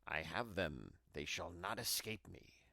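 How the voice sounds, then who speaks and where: A cunning old witch from a fairy tale, acted out by a man. The voice is gravelly and leaps up and down as it scolds and gives greedy, spiteful orders. It sounds close and dry. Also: low voice, expressive, a little slow, sharp tone, no echo, voice pushed down, exaggerated voice. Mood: gloating. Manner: scolding, commanding.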